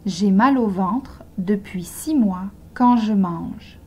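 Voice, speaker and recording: A woman reads short model sentences for beginner learners, one at a time. She speaks very slowly and articulates every word with care. Her voice is husky and mellow. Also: medium pitch. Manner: slow, carefully articulated dictation. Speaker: language teacher.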